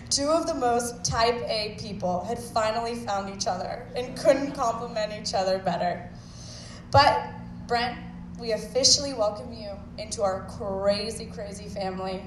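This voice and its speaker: A woman's voice: a little high, a little slow, noisy background. A woman from the bride's family giving a heartfelt wedding toast to the couple. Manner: warm, heartfelt, laughing. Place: wedding reception hall. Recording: echoey hall, from far off.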